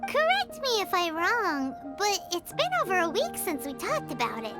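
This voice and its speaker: A tiny floating fairy girl from a game, her voice extremely high and childlike, leaping up and down in pitch. She teases and talks bluntly, and loud game music plays behind her. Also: wildly swooping pitch, normal speed, exaggerated voice. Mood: cheeky. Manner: blunt, teasing, sassy. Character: tiny floating female fairy companion, cheeky. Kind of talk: video game cutscene dialogue.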